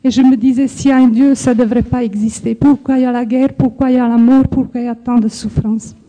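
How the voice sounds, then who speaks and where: Earnest older woman, very bright high voice. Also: fairly even intonation, poor audio. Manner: slow, earnest, reflective with hesitations. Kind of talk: personal faith testimony.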